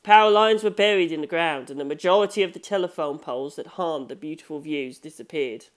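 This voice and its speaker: A narrator reading a novel aloud in a measured, scripted storytelling manner. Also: medium pitch.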